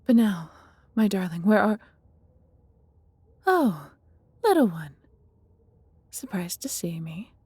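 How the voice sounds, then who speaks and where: A woman voicing an affectionate, fiercely protective female dragon in a fantasy audio roleplay, with soft husky breathiness and theatrical, intimate, formal storybook phrasing. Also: mid-range voice, big pitch swings, takes it slowly, pauses often, no room sound, exaggerated voice. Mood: worried, urgent.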